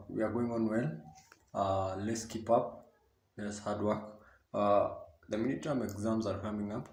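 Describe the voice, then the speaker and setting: A fatherly, authoritative male deputy principal played by an actor gives a radio-drama assembly address. His voice is fairly low and gravelly, and he halts and repeats himself, with faint noise behind him. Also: a little mellow, reverberant. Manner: halting, hesitant, repeating phrases.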